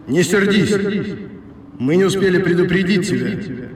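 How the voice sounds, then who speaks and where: An actor playing a tired, kindly cavalry rider from a dream in a radio drama, speaking in a measured, reassuring way. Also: male voice, high voice, animated, a little hiss or hum. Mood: calm, reassuring. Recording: echoing long reverb, distant from mic.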